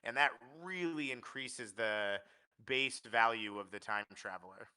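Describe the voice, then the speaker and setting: A man's voice: mid-range voice, lively intonation, a little slow, bright, forceful tone, clear, resonant tone. A man giving live play-by-play commentary on a card game tournament, analytical and often restarting his phrases.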